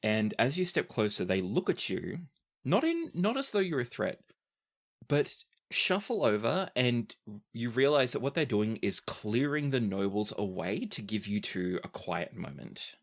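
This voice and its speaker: Deliberate game master narrating fantasy scenes. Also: mid-range voice, very animated. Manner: deliberate, descriptive storytelling.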